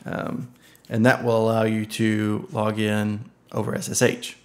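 A man giving a relaxed screen-recorded software tutorial, thinking aloud with many ums and uhs. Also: fairly low pitch, measured pace. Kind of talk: casual screen-recorded tech walkthrough.

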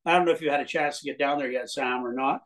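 An older Canadian-raised man talking in a relaxed, rambling way with many fillers, as an interview guest on a video call from a cottage. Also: medium pitch, quick pace. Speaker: guest musician. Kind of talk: casual interview chat. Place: cottage room, indoors. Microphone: video call webcam.

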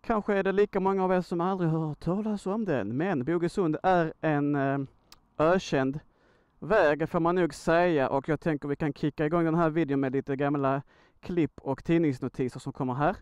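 A man's voice: fairly high pitch, a little mellow, smooth, dry recording, lo-fi sound. A man from Sweden giving a casual, slangy vlog monologue, thinking aloud as he talks. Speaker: motorcycle vlogger, host. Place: outdoors on a motorcycle by a road.

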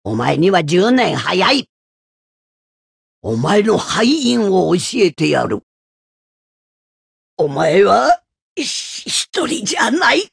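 Casting: stern, scolding elderly woman fighter for a game; husky and gravelly, delivery swings widely in pitch. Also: sing-song, takes it slowly, long pauses, no room sound, clean studio sound, exaggerated voice. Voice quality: gravelly, raspy. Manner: blunt, old-fashioned elderly phrasing. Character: gruff old female martial arts master, stern and scolding. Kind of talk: video game character voice lines.

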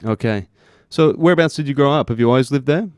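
A young man conducts a radio interview. He talks quickly in a smooth, clear voice at a medium pitch. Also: a little mellow, dry recording, studio quality. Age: early 20s.

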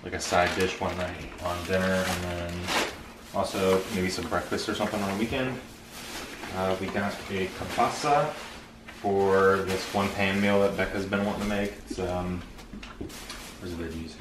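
Very deep-voiced young American man. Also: fairly even intonation, normal speed. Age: 20s. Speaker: vlogger. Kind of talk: casual grocery haul chat. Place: open kitchen and dining room at home. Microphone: camera a few feet away. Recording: echoey room, clear background noise, rustling clicks.

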